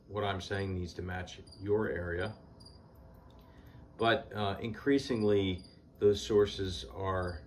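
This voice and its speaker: Very low-voiced man in his forties, relaxed practical explainer, faint crickets behind. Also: a little hiss or hum. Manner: unhurried, many "uh" pauses, plain practical tone. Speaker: beekeeper. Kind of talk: casual how-to talk. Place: indoors, small shed stacked with hive boxes.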